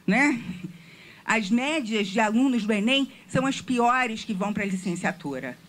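A woman's voice: fairly high pitch, lively intonation, a little slow, a bit bright, slight background noise. Earnest woman with a Rio accent. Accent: Rio de Janeiro Portuguese. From Rio de Janeiro, Brazil. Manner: earnest, questioning, storytelling. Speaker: audience member asking a question. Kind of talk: audience question at a public meeting. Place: meeting room with an audience. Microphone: handheld microphone, standing.

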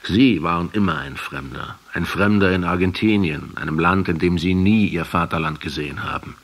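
An actor in a radio play playing a probing male essayist who speaks in a deliberate, insistent way. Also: deep voice. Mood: critical, measured. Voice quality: husky. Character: probing, measured male essayist from Buenos Aires.

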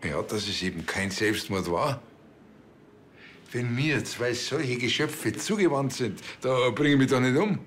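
An actor playing a naive, excitable, self-important small-town policeman with a Bavarian accent. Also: medium pitch, measured pace, slight background noise. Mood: smug, admiring.

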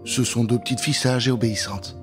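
A middle-aged male storyteller delivers a spooky tale for children with theatrical, playful expression. His mid-pitched voice is close on a studio microphone and addresses the listener directly. Music plays clearly underneath. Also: steady tone, fast talker. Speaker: storyteller, narrator. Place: dark studio booth. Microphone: close studio microphone with pop filter. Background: prominent music.

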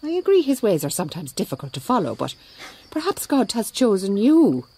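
A woman playing a shrewd, devout Mother Superior in a radio drama, speaking in a measured, dryly ironic way. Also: mid-range voice, wildly swooping pitch, dark tone, slight background noise. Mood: calm persuasion. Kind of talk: radio drama acting and narration.

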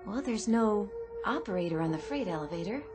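A woman's voice: mid-range voice, animated, a little fast, over loud music, close to an everyday voice. Nervous, kindly shop clerk played by a woman. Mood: puzzled, apologetic. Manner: hesitant, soothing. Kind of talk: radio drama acting.

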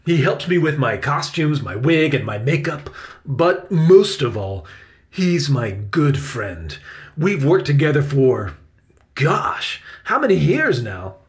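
A genial stage-actor uncle, as read by a male storyteller, friendly but firm. He explains things with emphasis, and his pitch moves up and down in a very animated way, as in an audiobook for children. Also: takes it slowly. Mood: fond.

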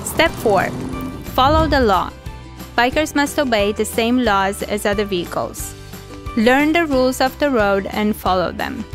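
Female narrator, higher register, scripted instructional read with music underneath. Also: animated, measured pace.

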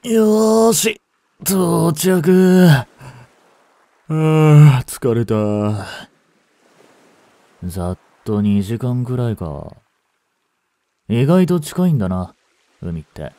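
A man playing a young delinquent in a voice drama, listless and blunt, with rough slangy talk and a caring streak. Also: medium pitch, expressive, extremely slow, long pauses, dark tone, dry recording. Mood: weary, teasing menace. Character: gruff, languid young male delinquent, secretly caring. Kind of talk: Japanese voice drama for female listeners.

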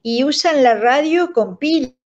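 A woman's voice: high voice, expressive, a little slow. An effusive, sentimental Argentine woman in her sixties, chatting as an interview guest about her work. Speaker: radio drama director, guest. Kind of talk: casual conversational interview. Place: indoors at home. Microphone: phone or laptop on video call. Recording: dull lo-fi video call.

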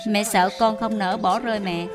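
A woman voicing a stubborn, sorrowful, frail old mother-in-law with an emotional manner in an animated dub. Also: medium pitch, normal speed, close to an everyday voice. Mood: tearful, loving. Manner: agitated, blunt, emotional. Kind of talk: dubbed animated moral tale. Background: background music.

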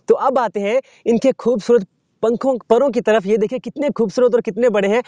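High-voiced young man teaching outdoors. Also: a little mellow, no echo. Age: young adult. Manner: measured, teacherly, addresses viewer directly. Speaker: wildlife channel host. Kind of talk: outdoor educational explainer. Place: open sandy ground outdoors.

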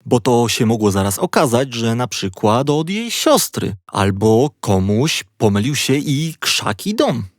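A man narrating a children's story in an expressive, playful storytelling manner. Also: mid-range voice, unhurried, few pauses, dry recording, clean studio sound.

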